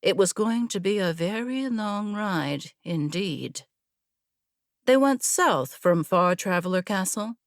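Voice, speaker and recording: A woman narrating a scripted fantasy story in a measured, deliberate manner. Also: medium pitch, no room sound, studio quality. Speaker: audiobook narrator.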